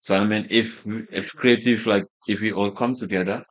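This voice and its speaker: A man's voice: fairly low pitch, fairly even intonation, moderate pace, poor audio. A man from Gambia whose first language is Wolof. He is a fashion brand founder talking as a guest in a casual interview, with many fillers and restarts.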